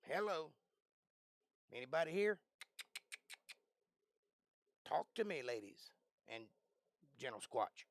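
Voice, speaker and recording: Raspy guy losing his voice, rambling live stream chat from his bedroom, close dry mic, keeps clearing his throat. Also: fairly high pitch, very animated, slow pace, long pauses, no room sound. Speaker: livestream host. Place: small bedroom. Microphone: close desk microphone.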